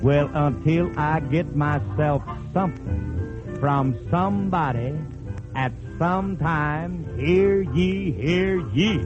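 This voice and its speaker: A man's voice: a little high, dull audio. A man delivering a drawling, folksy comic lament, half spoken, to an audience on an old radio recording. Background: music accompaniment.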